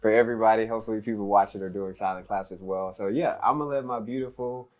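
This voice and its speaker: A man's voice: fairly low pitch, fast talker, darkish tone, muffled recording. A man in his twenties co-hosting a family podcast in a playful, joking way. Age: 20s. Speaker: podcast co-host.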